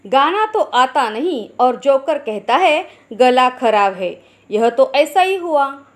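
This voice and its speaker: Lady teacher going slow thru a hindi lesson for kids, kinda high voice, asks then answers herself. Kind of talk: Hindi grammar lesson for schoolchildren.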